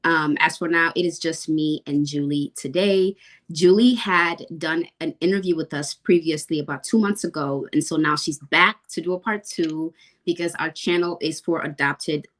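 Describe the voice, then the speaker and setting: Young woman host, lower pitch, eager and chatty. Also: moderate pace. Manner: enthusiastic, many fillers and restarts. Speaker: podcast host. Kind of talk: casual podcast hosting.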